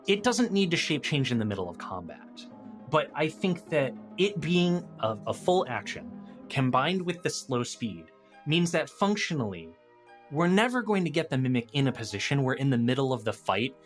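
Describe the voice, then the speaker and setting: A man's voice: mid-range voice, very animated, normal speed, soft background music. A man chatting casually as a podcast guest, thinking aloud and telling improvised stories about game monsters.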